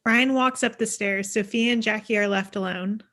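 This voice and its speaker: Bright-voiced woman reading stage directions. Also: mid-range voice, fairly even intonation, normal speed. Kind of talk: scripted narration. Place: indoor room on a video call.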